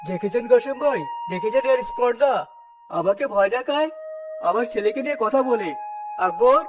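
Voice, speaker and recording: Man as a high-pitched, haughty, scolding shopkeeper. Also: lively intonation, moderate pace. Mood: haughty, grumbling. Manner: scolding, contemptuous, domineering. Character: harsh, haughty male sweet-shop owner obsessed with cleanliness. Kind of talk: cartoon story drama. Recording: clear background music throughout.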